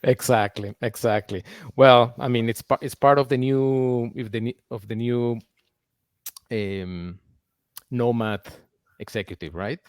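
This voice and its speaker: A man's voice: medium pitch, steady tone, measured pace, no room sound. Male host, close dry sound. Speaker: podcast host. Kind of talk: conversational interview.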